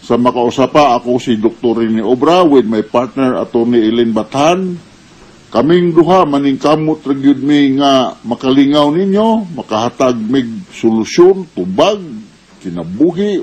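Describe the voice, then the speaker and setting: A man with a Filipino Bisaya accent, hosting radio advice as a doctor, explaining haltingly and mixing in English medical terms. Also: fairly high pitch, a little mellow, rough recording.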